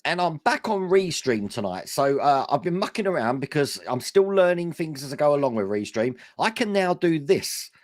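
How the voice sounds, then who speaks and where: A man hosting a casual live stream, his voice hoarse and worn, chatting enthusiastically with lots of fillers. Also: fairly high pitch, sing-song, normal speed, hardly any pauses, no echo, studio quality. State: hoarse, voice going.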